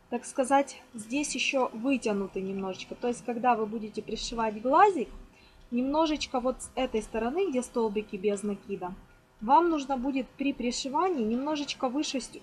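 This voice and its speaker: A woman informally explaining a hands-on craft step by step, as a tutorial host. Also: a little high. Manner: fond of diminutives, explains step by step. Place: indoors at a table. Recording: noisy amateur home recording.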